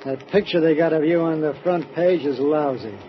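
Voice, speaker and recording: An actor playing a chatty, nosy working-class cab driver with blunt, slangy talk, on an old radio drama recording. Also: male voice, on the high side, moderate pace, highs cut off, a little hiss or hum, lightly acted. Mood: gabby.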